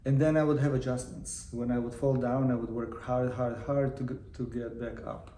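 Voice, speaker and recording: A man's voice: medium pitch, level tone, moderate pace, warm tone. A man, a guest chatting casually and rambling a little as he answers. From Croatia. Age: 50s. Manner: easygoing, rambling with restarts. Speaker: guest, film producer and actor. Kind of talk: casual interview talk. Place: room in Los Angeles. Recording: echoey room, faint noise.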